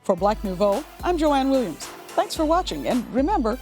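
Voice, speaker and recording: A woman's voice: sits high, big pitch swings, moderate pace, darkish tone, faint music behind. An American woman hosting a television magazine show and putting questions to her guests. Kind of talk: interview hosting.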